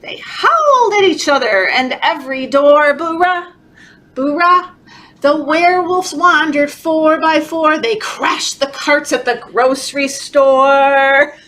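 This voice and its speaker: A woman leading a children's story time, reading aloud in an animated, encouraging, sing-song way, as if talking to toddlers. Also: high-pitched, slow. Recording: clearly noisy background.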